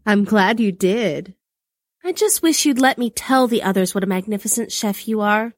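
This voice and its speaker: A woman's voice: mid-range voice, normal speed, no room sound, clean studio sound, voice pushed up, close to an everyday voice. A woman voicing a playful, loving young goddess in a story, sweet, coy and teasing. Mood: delighted, loving.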